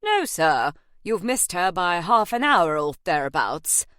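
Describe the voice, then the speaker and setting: A woman voicing a smooth, unflappable male butler who answers with calm composure. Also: on the low side, a little slow, no room sound, studio quality. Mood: polite.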